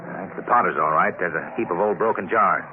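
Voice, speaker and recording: An actor playing a curious young colonial clerk who narrates and hesitates with stammered fillers, in an old radio drama. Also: male voice, deep voice, fairly quick, phone-quality sound, lightly acted. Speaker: narrator and lead. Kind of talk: 1950s radio drama acting. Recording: scratchy old radio broadcast, loud hiss.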